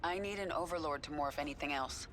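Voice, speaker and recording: A woman's voice: deep voice, quick pace, a bit bright, a little hiss or hum. An actress playing a hard, vengeful former swarm queen, guarded and defiant, in a dramatic video game.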